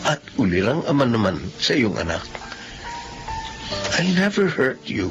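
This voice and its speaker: A smooth-talking young suitor who turns out unfaithful, persuasive and lively in his pitch. A man acts him out. Background music runs under the dialogue. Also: a little slow, muffled recording. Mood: courting. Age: young adult. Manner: persuasive, mixes in English phrases. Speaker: suitor, later husband. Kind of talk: Filipino radio-style audio drama.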